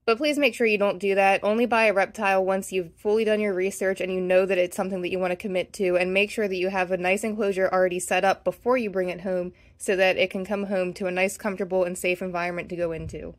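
Fast-talking woman narrating a vlog. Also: mid-range voice, steady tone. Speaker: vlog host and reptile hobbyist. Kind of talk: scripted narration, informational advice.

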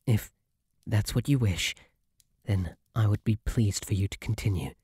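A man acts out a shy male bunny butler with a British accent, soft and breathy. He talks slowly and formally, deferring and stammering in a flustered way. It is an intimate ASMR roleplay, recorded close and dry. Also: fairly low pitch, no echo. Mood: contented, tender. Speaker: roleplay audio performer. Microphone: close, dry microphone.

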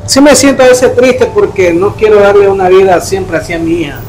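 A young man playing a poor young worker who is soft-spoken and tearful, in a staged charity video. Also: sits high, close to an everyday voice. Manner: quiet, halting, emotional. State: crying. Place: indoor room. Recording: echoey room with music behind.

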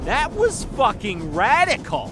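An actor playing an excitable, playful male game master in a comic fan dub, talking conversationally. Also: medium pitch, measured pace, very noisy. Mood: excited. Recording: loud music under the voice.

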